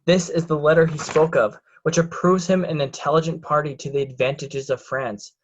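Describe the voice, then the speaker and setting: Ambitious two-faced young nobleman, male actor, lightish high voice, classical verse, lo-fi sound. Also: fairly even intonation, moderate pace, close to an everyday voice. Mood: feigned regret. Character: scheming hypocrite Edmund, ambitious young bastard son. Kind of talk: remote reader's theatre Shakespeare.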